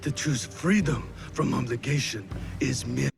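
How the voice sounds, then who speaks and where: A grave veteran warrior lord, speaking slowly and formally in a mid-range voice. He sounds stern and probing, in a game drama with music clearly behind him. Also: mid-range voice. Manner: deliberate, formal, questioning. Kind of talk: video game cutscene acting.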